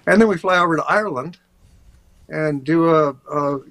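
An older man, a tour guide, chatting over a video call in a relaxed, rambling way with many fillers. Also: fairly high pitch, normal speed. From USA. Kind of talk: casual interview talk. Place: home office, indoors.

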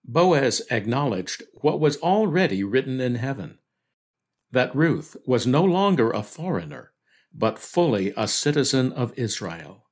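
A man hosting a devotional podcast, reading a scripted reflection on scripture in a measured, earnest way. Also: mid-range voice, big pitch swings.

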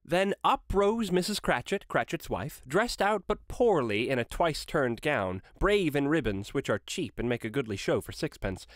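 A man narrating a classic story aloud in an expressive storytelling style. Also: on the high side, moderate pace, few pauses, no echo, studio quality.

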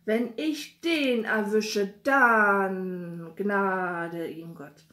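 A woman voicing an excitable master baker in a children's storybook, loud and emphatic. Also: medium pitch, very slow, roomy sound. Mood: indignant, threatening. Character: blustery male master baker, loud and indignant or delighted.

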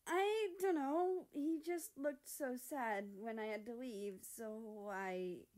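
A woman's voice: on the high side, slow pace, a little mellow, voice pushed up. A woman voicing an anxious, distracted young male character in a story, hesitant and sheepish. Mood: nervous.

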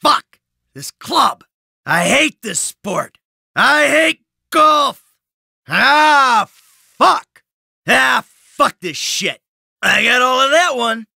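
An actor playing a weary, sardonic, hot-tempered middle-aged American criminal in a video game, grumbling and foul-mouthed. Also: male voice, very high voice, lively intonation, extremely slow, vocal fry, bright, forceful tone, no room sound, clean studio sound, full character voice. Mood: furious, then gloating. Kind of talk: acted video game voice lines.

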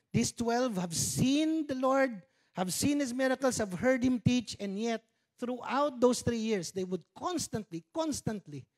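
A man's voice: high-pitched, darkish tone. A Filipino man in his fifties preaching a sermon on a church stage, conversational, with joking Tagalog asides, speaking into a handheld mic. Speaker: pastor. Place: church stage with dark curtains.

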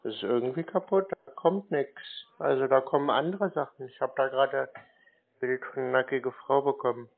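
A man in a casual phone conversation, talking in a naive, slow-witted, stalling way like a confused customer. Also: medium pitch, creaky. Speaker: prank caller posing as a naive customer. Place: phone call. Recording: tinny band-limited phone line.

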